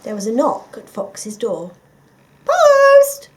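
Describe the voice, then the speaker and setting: A woman reading a children's picture book aloud, with expressive, dramatic emphasis for young listeners. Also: a little high, a little slow, a little hiss or hum. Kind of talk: expressive scripted story narration.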